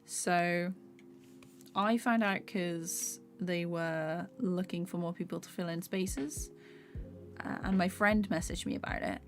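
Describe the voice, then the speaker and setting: A young woman animator chatting casually on a live stream while she works, rambling and soft-spoken, her voice a bit hoarse. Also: fairly low pitch, fairly even intonation, takes it slowly. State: slightly hoarse. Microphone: desktop USB microphone. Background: faint background music.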